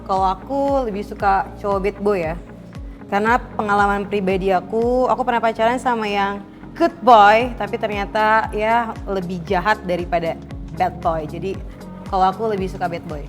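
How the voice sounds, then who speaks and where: A woman's voice: mid-range voice, fairly even intonation, normal speed, music in the background. A woman in her late twenties answering frankly on a dating show. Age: 20s. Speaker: contestant.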